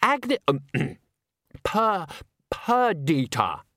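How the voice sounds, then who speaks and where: Shy, stubborn young woman, raised high and falsetto-like, dry close read; man voicing her. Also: very animated, a little slow, fairly bright tone, dry recording, full character voice. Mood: hesitant. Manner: hesitant, then snappish.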